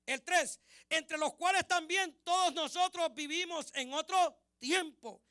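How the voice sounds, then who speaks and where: A man's voice: very high-pitched, measured pace, pushed and bright, no echo, clean studio sound. A man from Honduras, a pastor preaching a sermon, emphatic and repeating key phrases for the congregation.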